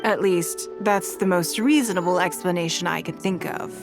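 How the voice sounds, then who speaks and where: A woman playing a composed, shrewd and wealthy businesswoman in a video game, speaking in a measured, formal way. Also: on the low side, natural voice. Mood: reflective, composed. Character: poised, shrewd, wealthy businesswoman of high standing. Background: background music.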